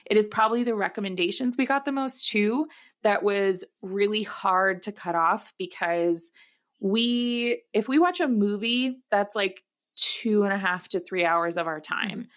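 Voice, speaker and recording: A woman with a middle-pitched voice chats casually as a podcast co-host. Her delivery is halting, with pauses in the middle of sentences as she works through her thought. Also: fairly bright tone.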